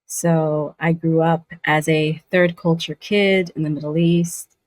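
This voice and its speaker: A woman from Southern California, a voice actor, chatting as a guest over a remote video call from home, relaxed and quick to laugh. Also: deep voice, no echo. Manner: relaxed, unhurried, laughs easily. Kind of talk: casual interview chat. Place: home in Scotland.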